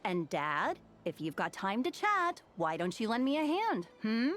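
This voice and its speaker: An actress playing a brisk, capable young working daughter in a video game. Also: female voice, fairly high pitch, very animated, a bit bright. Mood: placating, chiding.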